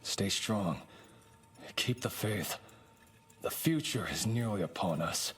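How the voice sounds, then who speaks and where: Resolute game-log leader, solemn, measured, exhorting, fairly deep voice. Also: male voice, expressive, a bit bright. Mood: solemn, hopeful. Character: solemn, resolute male frontier research leader. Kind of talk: dramatic recorded log in a video game.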